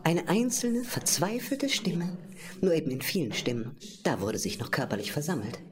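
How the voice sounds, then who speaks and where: A woman's voice: low voice, lively intonation, normal speed, a crowd in the background. A woman playing the narrator of a radio play, telling a choir's story in emphatic fragments and repeated words, addressing the listener directly. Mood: reflective, emphatic.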